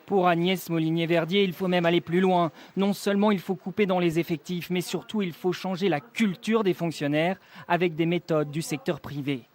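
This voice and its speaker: A man's voice: high voice, fairly even intonation, normal speed, few pauses, darkish tone. A man reading scripted narration as a television news reporter.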